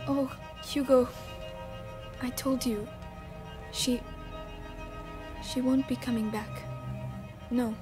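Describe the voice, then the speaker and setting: A woman's voice: sits high, steady tone, slow, long pauses, over music. A protective teenage girl. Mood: gentle. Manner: gentle, reassuring.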